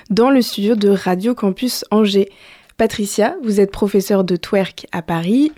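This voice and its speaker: A woman hosting a community radio show, first reflecting in a calm, measured voice on her own experience, then interviewing a guest in the studio. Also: medium pitch.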